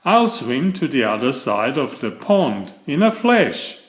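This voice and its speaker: A man's voice: mid-range voice, sing-song, unhurried, roomy sound. A man voicing a young male turtle in a storybook, eager and boastful with an earnest, declaring manner. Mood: determined boasting.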